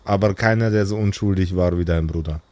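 A man playing a calm, remorseful supervillain in an improvised audio role-play, speaking slowly and solemnly. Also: low voice, lightly acted. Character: weary, remorseful male supervillain Magma Man, calm and relaxed.

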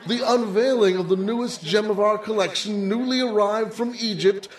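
A man's voice: sits high, normal speed, natural voice. An actor playing a pompous museum chairman, speechifying grandly and ceremonially in old-time radio drama style. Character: pompous museum board chairman hosting a gala. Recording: roomy, echoing, distant from the mic.

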